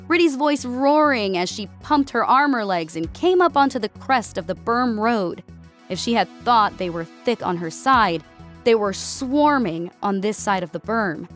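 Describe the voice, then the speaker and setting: A woman giving a dramatic scripted narration of an action story as an audiobook narrator. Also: mid-range voice.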